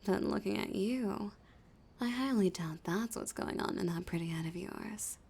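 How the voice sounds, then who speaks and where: A woman voicing a flirty, dominant female villain in an intimate audio roleplay, teasing and often dropping into a breathy whisper. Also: mid-range voice, moderate pace, a little mellow. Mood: teasing, seductive.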